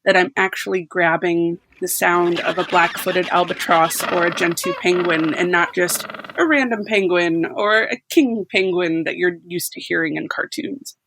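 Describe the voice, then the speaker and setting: A woman's voice: lowish voice, normal speed, background noise. A young woman, a podcast sound designer, chatting casually and laughing easily.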